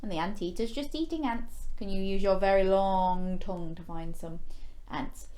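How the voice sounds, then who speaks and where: A woman's voice: medium pitch, moderate pace, dark tone, a little hiss or hum. A woman hosting a children's story time, reading a picture book expressively with exclamations and playful questions to young listeners. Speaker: children's storyteller and show host.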